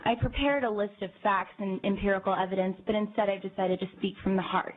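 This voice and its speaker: A young woman gives formal testimony in a composed, articulate voice of middle pitch. Her words are measured and carefully phrased. The audio is narrow and tinny, like a phone line. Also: fairly even intonation, slight background noise. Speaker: citizen testifying at a hearing. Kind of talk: prepared public testimony. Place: legislative committee hearing room.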